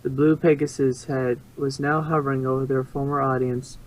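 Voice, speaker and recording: Man reading a story, mid-pitched and halting. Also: level tone, rough recording. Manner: stumbles and rereads phrases. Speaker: narrator.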